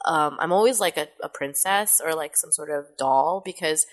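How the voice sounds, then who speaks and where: A woman's voice: sits low, normal speed. A woman with a youthful, kid-like voice and Mandarin as her first language, chatting casually and rambling on a podcast. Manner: chatty, rambling, laughs often. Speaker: podcast host and voice actress.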